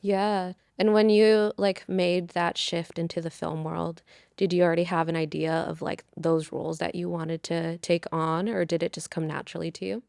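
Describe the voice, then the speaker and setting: A woman's voice: on the low side, level tone, moderate pace, vocal fry, a little mellow, no echo, studio quality. A woman hosting an interview show, who introduces her guest and asks friendly, curious, open questions. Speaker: interview host.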